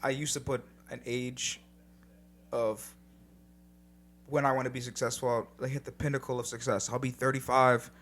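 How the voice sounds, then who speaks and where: A man's voice: medium pitch, little pitch movement, normal speed, pauses often, fairly bright tone. A young man co-hosting a casual podcast, talking in a laid-back, reflective way with some casual swearing. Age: young adult. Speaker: podcast co-host. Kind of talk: casual chat.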